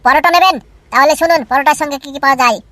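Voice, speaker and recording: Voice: high voice, racing, bright, forceful tone, dry recording. A performer voicing a broke, sulky, scheming young lad in a comedy cartoon. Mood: exasperated. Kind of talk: Bengali comedy cartoon dubbing.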